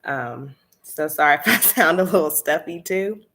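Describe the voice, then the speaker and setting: Woman, 30s, low-pitched, chatty coach, slightly congested, easygoing live-stream chat. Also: lively intonation, normal speed, background noise. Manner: chatty, many fillers, laughs often. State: slightly stuffy nose. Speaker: candle business coach hosting a live stream.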